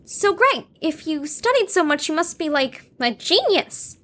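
A woman's voice: extremely high pitch, very animated, a little slow, fairly bright tone, exaggerated voice. A woman playing a chirpy, eager young girl in an audio drama, bubbly and politely opinionated. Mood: eager, friendly. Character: chirpy, eager, dog-loving young psychiatric patient girl.

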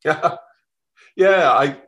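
A middle-aged man from Melbourne with an Australian accent, telling travel stories in a radio interview, reflective and lively, with ums and restarts. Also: on the high side, drawn-out words, muffled recording. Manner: reflective, lively storytelling with fillers. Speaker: travel writer guest.

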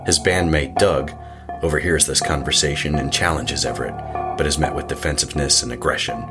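A man's voice: a bass voice, steady tone, a little fast. A man narrating a scripted recap of a horror audio serial. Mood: ominous. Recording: loud music under voice.

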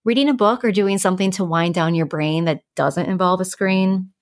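A woman's voice: lowish voice, normal speed, no echo, studio quality. A woman co-hosting a podcast, giving advice in an informal, conversational way and explaining things through everyday examples. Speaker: podcast co-host giving sleep tips.

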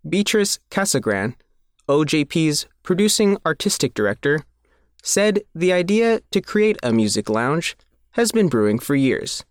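A man's voice: a little high, big pitch swings, a little slow, no echo, clean studio sound. A man reading a scripted newspaper feature aloud as the reporter. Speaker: reporter reading their own article.

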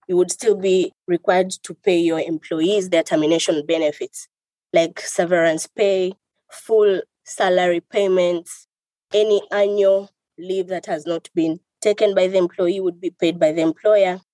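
A woman from Tanzania, a lawyer guest on a podcast, explaining her country's business and employment law in a measured way. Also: lowish voice, steady tone, warm tone, dry recording, poor audio. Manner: measured, explanatory, lists items. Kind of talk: podcast interview answers.

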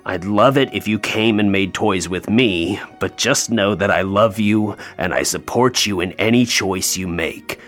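An actor playing a bitter, grieving North Pole elf in an audio play, sarcastic and emotionally open. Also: male voice, fairly low pitch, a little slow, hardly any pauses, a bit bright, soft background music. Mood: tender, moved.

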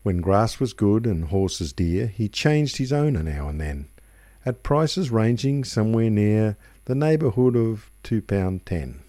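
A man reciting a rhyming tale as a radio storyteller, in measured, rhythmic phrases. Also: lowish voice, big pitch swings, dark tone, dry recording. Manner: measured, rhythmic verse delivery. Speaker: radio reader. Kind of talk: expressive poetry recitation, storytelling.

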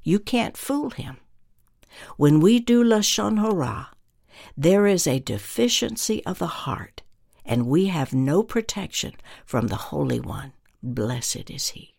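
A minister gives a scripted religious teaching with a medium-pitched voice. The delivery is earnest and deliberate, setting out phrases with emphasis. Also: sing-song, gravelly, a little mellow.

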